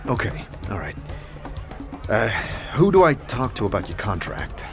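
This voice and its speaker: A man playing a compassionate, hesitant adventurer, speaking earnestly and haltingly in a fantasy audio drama. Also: fairly low pitch, big pitch swings. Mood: earnest, probing. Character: hesitant, compassionate male adventurer and second-person narrator. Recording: loud music behind the voice. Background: music.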